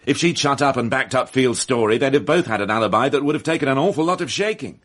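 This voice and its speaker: A man's voice: mid-range voice, expressive, fast, natural voice. An actor playing a blunt, irritable male police inspector in a radio mystery drama, questioning people in a brusque, probing way. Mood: frustrated.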